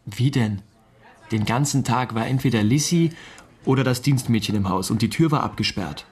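Actor as a cocksure, husky young know-it-all. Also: male voice, mid-range voice, moderate pace, natural voice. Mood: smug, insistent. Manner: confident, insistent, matter-of-fact. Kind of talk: radio drama acting.

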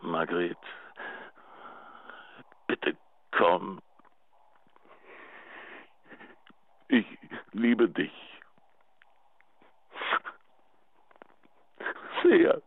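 Deep-voiced, doting old grumbly bear. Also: male voice, big pitch swings, drawn-out words, gravelly, breathy edge, full character voice. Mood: pleading love. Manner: coaxing, pleading, tender. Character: doting, possessive older man, self-styled old grumbly bear. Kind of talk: radio drama acting as a phone message. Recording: dry, narrow answering machine phone line.

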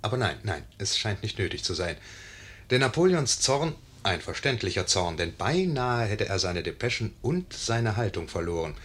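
Low, husky, wry radio narrator. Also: male voice, sing-song, fairly bright tone, exaggerated voice. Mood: wry, amused. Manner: wry, measured, addressing listeners. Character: wry, discreet male storyteller commenting on the scene. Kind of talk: 1950s radio play narration.

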